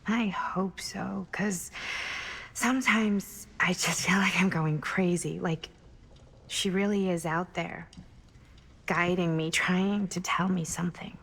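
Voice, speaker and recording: An actress playing a grieving, searching young American woman in a video game cutscene, with a husky, creaky, breathy voice and halting delivery. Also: on the low side, fairly even intonation, fairly bright tone, full character voice. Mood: grieving, vulnerable. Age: young adult. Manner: hesitant, halting speech. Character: grieving, searching young woman who misses her mother.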